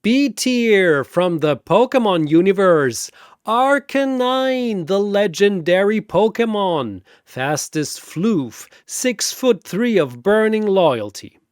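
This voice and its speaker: Guy doing a showy fantasy battle arena announcer, grandiose, stretches words out, super animated, dry close sound. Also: high-pitched, slow, smooth, no room sound, pitched above the performer's own voice. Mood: hyped announcing. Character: flamboyant four-armed arena master of ceremonies, showy and grandiose.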